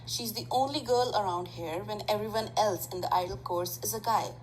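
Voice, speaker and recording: A woman's voice: medium pitch, a little fast, soft background music, close to an everyday voice, low-quality recording. Woman voicing a mild, chatty teenage boy. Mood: curious, musing. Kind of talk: amateur anime fandub acting.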